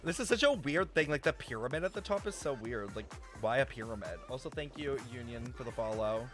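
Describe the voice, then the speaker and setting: Slangy male game streamer, lively pitch. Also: brisk, faint music behind. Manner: slangy, incredulous. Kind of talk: casual live reaction commentary.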